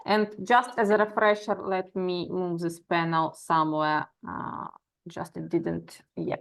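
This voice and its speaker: A woman's voice: lowish voice, flat delivery, a little slow, creaky, a bit bright. Woman presenting over a video call. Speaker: remote conference speaker. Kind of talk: presentation opening.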